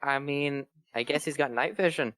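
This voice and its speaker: A man's voice: medium pitch, moderate pace, fairly bright tone, no room sound. A man chatting casually and riffing with dry, absurd jokes. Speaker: co-host of a comedy reading show. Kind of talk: casual joking banter.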